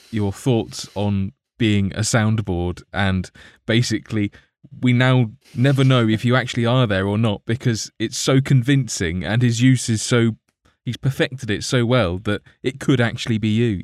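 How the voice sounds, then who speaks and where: A man with a lowish voice hosts a relaxed podcast. He rambles, restarts his sentences and laughs a lot. The sound is dry and close. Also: normal speed, few pauses, no echo. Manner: rambling, laughs often, trips over words. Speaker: podcast host. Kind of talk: casual podcast chat.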